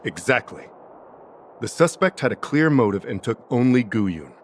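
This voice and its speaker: An actor playing a shrewd, insistent investigator in a video game, deliberate and logical as he reasons and questions. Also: mid-range voice, big pitch swings. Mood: measured, reasoning. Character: shrewd, insistent male investigator pressing an accusation. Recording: music behind the voice.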